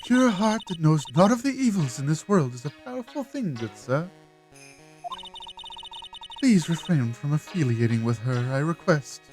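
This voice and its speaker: A prim, haughty middle-aged matron from a video game, played by a woman. She speaks with stern, stately formality and archaic courtesy, her pitch rising and falling with each pronouncement. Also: lively intonation, normal speed, a little mellow, soft background music, poor audio. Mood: solemn.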